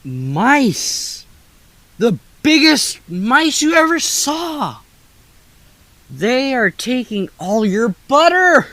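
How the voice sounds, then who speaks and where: A teenage actor playing a lazy, cowardly pet cat in a high school radio play, with a languid, theatrical delivery. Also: male voice, big pitch swings, very slow, pushed and bright, dry recording, exaggerated voice. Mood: alarmed.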